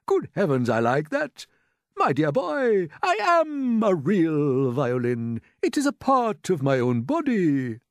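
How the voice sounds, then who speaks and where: Man acting out a proud, avuncular old grasshopper, drawn-out words. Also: a little high, huge pitch swings, dry recording, studio quality, pitched above the performer's own voice, full character voice. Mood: delighted, proud. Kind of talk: storybook character voice.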